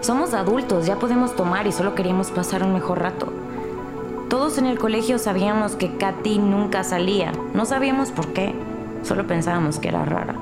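A woman's voice: mid-range voice, fairly quick, natural voice. An actress playing an emotional, earnest and protective teenage girl in a scripted audio drama. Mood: wistful, defensive. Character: distressed, protective teenage girl confessing to police. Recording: loud music behind the voice.

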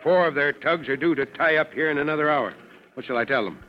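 An old, dull radio recording with faint noise features a man playing a senior fire chief. He is brisk yet easygoing and plain-spoken, with a slightly high voice, in vintage radio-drama style. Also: lightly acted. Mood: matter-of-fact.

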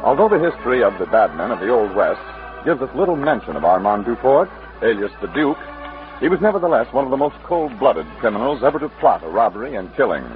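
A man's voice: mid-range voice, very animated, fast talker, faint music behind. Tinny old-time radio western announcer, male. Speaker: announcer and narrator. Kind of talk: dramatic 1940s-50s radio western narration.

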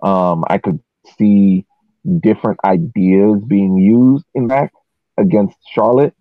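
A man's voice: on the low side, takes it slowly, no echo. A man co-hosting a soccer podcast, giving casual tactical analysis over a narrow phone-like connection.

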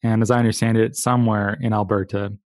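A man's voice: on the low side, little pitch movement, fast, darkish tone, dry recording, clean studio sound. A man hosting a podcast interview, chatty and playful with self-deprecating jokes. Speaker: podcast host. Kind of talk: conversational interview.

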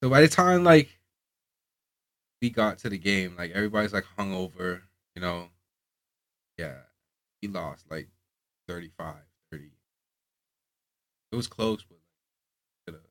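A young man from the Bahamas, a guest on a sports show, talking in a loose, easygoing way. His voice sits low but comes out bright and forward. The recording is dry and close. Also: animated, measured pace, pauses often, no room sound. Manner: loose, laid-back, lots of "like" fillers. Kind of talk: casual interview chat.

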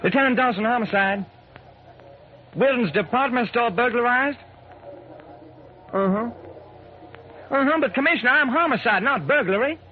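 A man's voice: very high voice, normal speed, pauses often, phone-quality sound. A man playing a plain-spoken, dutiful homicide lieutenant in an old-time radio drama. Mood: flustered, protesting. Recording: noisy, band-limited old radio broadcast.

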